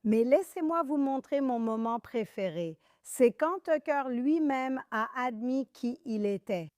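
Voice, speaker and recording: Woman from Iran, talk show host, emphatic and sarcastic, clear higher voice, dry studio. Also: animated, measured pace, darkish tone, no room sound. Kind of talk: panel talk show discussion.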